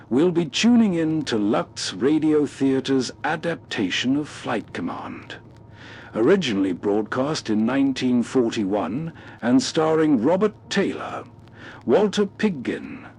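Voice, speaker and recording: A man hosting with scripted, measured, scene-setting narration. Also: expressive, darkish tone, slightly rough. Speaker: host. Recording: faint rumbling background noise.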